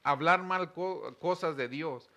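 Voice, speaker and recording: A man's voice: on the high side, takes it slowly, pushed and bright. A man hosting a religious programme, teaching the Bible conversationally in an earnest, explanatory way and stressing key words.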